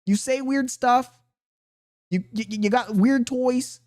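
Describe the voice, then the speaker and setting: A man's voice: extremely high pitch, takes it slowly, darkish tone. A man with a thin voice hosting a reaction video, chatting casually to his viewers in a blunt, mocking, profane way. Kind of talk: casual reaction commentary to chat.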